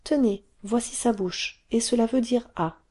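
A woman voicing an excited, curious little cave girl who pleads and chatters. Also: a little high, a little slow, breathy edge, pitched above the performer's own voice. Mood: chatty.

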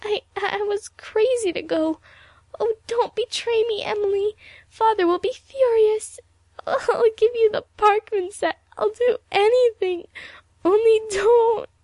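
Woman voicing a high, wheedling schoolgirl. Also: monotone, a little fast, hardly any pauses, darkish tone, dry recording. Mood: tearful pleading. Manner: wheedling, stammering, sniffling. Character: sly schoolgirl, falsely gentle, crumpling into tearful pleading.

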